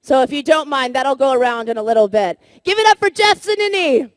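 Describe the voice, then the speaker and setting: A woman's voice: high voice, animated, fairly quick, no echo. A woman giving a live stage introduction for a convention panel.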